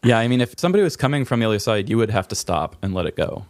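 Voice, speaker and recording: A man with a fairly low voice tells a casual story as a podcast co-host. The sound is dry and close, and his delivery is informal and a little exasperated. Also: sing-song, rapid-fire, no echo. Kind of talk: casual anecdotal chat.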